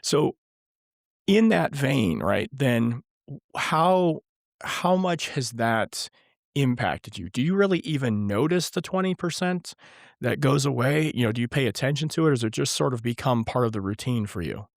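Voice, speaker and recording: A man in his forties with a hoarse, gravelly voice, worn rough by a cold, sitting at a middle pitch. He hosts in an easygoing way, often chuckling and trailing off into restarts. The sound is close and dry, with no room echo. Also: animated, moderate pace. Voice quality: scratchy, gravelly. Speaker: podcast host and father of the guests. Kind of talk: casual family podcast interview.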